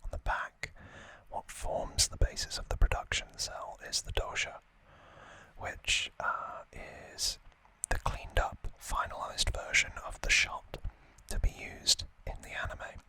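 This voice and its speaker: A man hosting an ASMR video, whispering throughout as he explains things off the cuff, with thoughtful, hesitant pauses. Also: pushed and bright, dry recording, rough recording. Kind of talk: whispered ASMR, unscripted explanatory unboxing talk.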